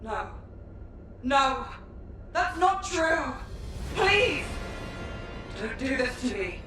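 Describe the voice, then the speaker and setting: Earnest female squad captain in deep echo. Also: sing-song, extremely slow, heavily acted. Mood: anguished, pleading. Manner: earnest, firm, emotional. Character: resolute female squad captain, protective but anguished. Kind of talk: dramatic video game acting. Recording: distant, very echoey, music behind.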